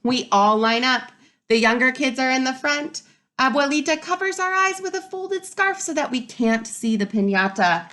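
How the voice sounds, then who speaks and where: A woman reading a picture book aloud to young children like a teacher, expressive and pausing to ask wondering questions. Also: high voice. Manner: expressive, pauses to pose questions to young listeners.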